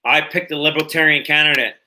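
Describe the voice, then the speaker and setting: A man's voice: a little high, steady tone, quick pace, sharp tone, slight background noise, rough recording. A man in his late thirties hosting a casual livestream podcast, rambling and cursing freely as he chats. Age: 30s. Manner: rambling, swears freely, repeats himself. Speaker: podcast host.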